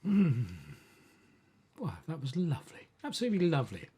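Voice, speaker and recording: A man hosting an event, introducing performers casually in a hesitant, halting way. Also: on the high side, very animated, warm tone, slightly rough. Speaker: host.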